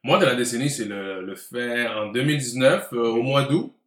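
A man's voice: medium pitch, moderate pace, a bit bright. A man in his late twenties with a Quebec French accent, chatting casually and slipping English words into his talk. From Montreal, Canada. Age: late 20s. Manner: mixes English words into speech. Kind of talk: casual conversational chat. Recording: roomy, echoey room.

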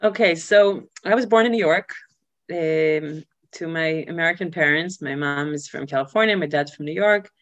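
A woman who grew up in Israel, telling her life story as an interview guest, pausing often with filler sounds and restarts. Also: low voice, no echo, highs cut off. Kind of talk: personal life story in conversation.

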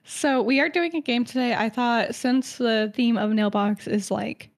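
A woman co-hosting a casual podcast, chatty and quick to laugh, telling stories with dramatic flair. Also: fairly high pitch. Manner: chatty, giggly, dramatic storytelling. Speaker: podcast co-host presenting lore research.

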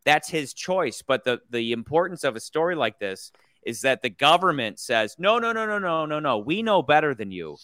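Bright, crisp-voiced man ranting opinions. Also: on the high side, big pitch swings, moderate pace, dry recording, studio quality. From United States. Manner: rambling, opinionated, profane, frequent restarts. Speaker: podcast host. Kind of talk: casual movie review chat.

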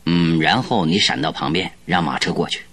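An actor playing a shrewd, secretive male detective in a radio drama, with a husky, unhurried, coaxing delivery. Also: low voice, fairly bright tone. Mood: calm, scheming. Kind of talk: Mandarin radio drama acting.

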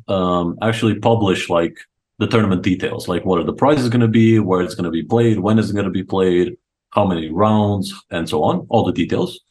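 Low-pitched young man chatting casually. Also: darkish tone. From Croatia. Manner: informal, enthusiastic, slangy. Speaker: podcast guest, chess coach. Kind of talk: casual interview chat, storytelling.

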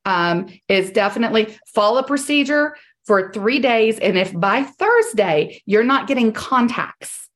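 Woman sales coach, slightly high voice, strained and hoarse, firm and repetitive. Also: expressive, unhurried, fairly bright tone. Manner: direct, emphatic, repeats key points. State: losing her voice. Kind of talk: coaching on a group call.

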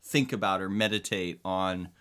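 A man's voice: deep voice, moderate pace, a bit bright. A man hosting a casual family podcast, easygoing and steering the talk. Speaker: podcast host and parent.

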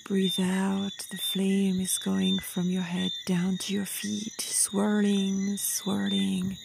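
A woman leading a guided meditation in a soft, breathy, soothing voice, with short phrases and long pauses. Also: medium pitch, completely flat delivery, noisy background. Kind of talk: slow guided meditation narration.

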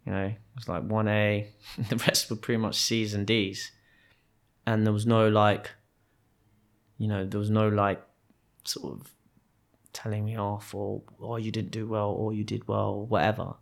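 A man born in Kenya, talking as a podcast guest about growing up. His voice sits a little low. He speaks reflectively, pausing and restarting often. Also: flat delivery, gravelly. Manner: hesitant, many restarts and fillers. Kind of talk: reflective conversational storytelling.

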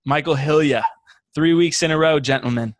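A man's voice: a little high, moderate pace, dry recording, clean studio sound. Easygoing male podcast co-host. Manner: easygoing, laid-back and chuckling. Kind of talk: casual podcast banter.

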